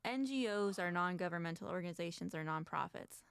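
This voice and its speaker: A woman's voice: fairly low pitch, lively intonation, fairly quick, gravelly, smooth, no room sound, studio quality. A woman co-hosting an advocacy talk show, chatting casually and reading documents aloud with commentary. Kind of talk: casual discussion.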